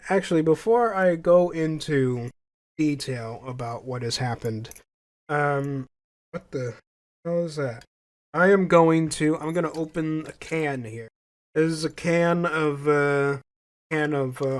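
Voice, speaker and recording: A young man with a slightly higher voice hosts his own podcast, talking casually to listeners. His nose is stuffy from a cold, and he rambles, repeating phrases and correcting himself as he goes. The sound is dry and close on a home microphone. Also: no echo. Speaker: podcast host. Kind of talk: solo casual podcast chat.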